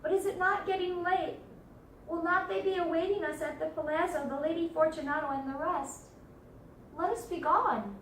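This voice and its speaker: A woman's voice: very high-pitched, steady tone, normal speed, a bit bright, pitched above the performer's own voice. A woman voicing a doomed, drunken nobleman in a story, theatrical and hysterical, desperate and falsely jovial. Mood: weak false mirth. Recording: roomy, distant microphone, faint noise.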